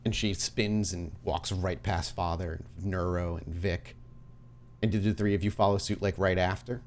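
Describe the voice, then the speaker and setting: Male game master, low voice, deliberate and dramatic narration of a dark tabletop story, some noise behind. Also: fairly even intonation. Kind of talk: narrating a tabletop horror roleplay.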